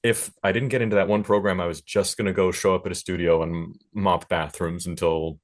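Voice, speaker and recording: A man speaking as a panelist in a discussion, reflective and halting as he gives advice. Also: low-pitched, level tone.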